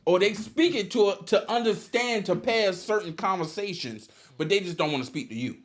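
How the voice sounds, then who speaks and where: A man's voice: high-pitched, normal speed. A man hosting a casual podcast, talking loosely with frequent swearing and laughter. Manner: loose, profane, laughs often. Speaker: podcast host. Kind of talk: casual chat.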